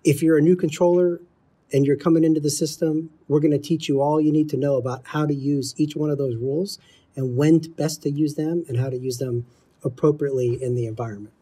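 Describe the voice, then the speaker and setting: Middle-aged man, mellow husky voice. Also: on the high side, steady tone, brisk. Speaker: air traffic control instructor, interview guest. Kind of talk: explanatory interview talk.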